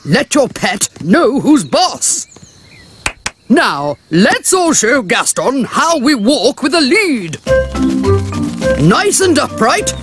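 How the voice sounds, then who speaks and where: Bossy, high-pitched British pet school teacher. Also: female voice, sing-song, music in the background, full character voice. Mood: bossy, firm. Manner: brisk, commanding, hearty. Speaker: pet trainer. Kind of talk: children's cartoon acting.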